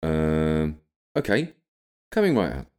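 A man's voice: rumbling low, very animated, slow pace, a little mellow, smooth, heavily acted. A man voicing a friendly, wry young village barmaid in a narrated story. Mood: obliging.

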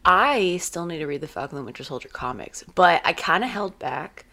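A woman's voice: on the low side, animated, moderate pace. Chatty young woman losing her voice. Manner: chatty, fillers of "like", loud. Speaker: co-host. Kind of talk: casual fan discussion.

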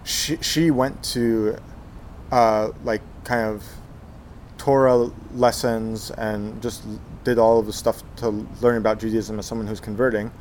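A man in his twenties from Texas, telling his life story in an interview, halting with many fillers and restarts. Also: on the low side, flat delivery, darkish tone, slight background noise. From Texas, USA. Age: 20s. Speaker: guest, Zen monk.

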